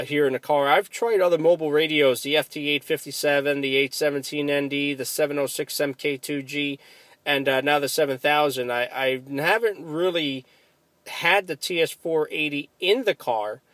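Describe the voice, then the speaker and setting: American guy chatting on ham radio from his car, kinda higher voice, upbeat, really dry sound. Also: steady tone, normal speed, a bit bright, no echo. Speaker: amateur radio operator. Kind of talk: casual ham radio conversation. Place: inside a car.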